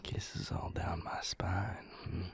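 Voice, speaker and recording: A man playing an affectionate, teasing male vampire lover in an intimate romantic audio roleplay, with a husky, breathy, flirtatious drawl. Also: deep voice, little pitch movement, extremely slow, heavily acted. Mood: flirtatious, tender. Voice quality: gravelly, husky, breathy near-whisper.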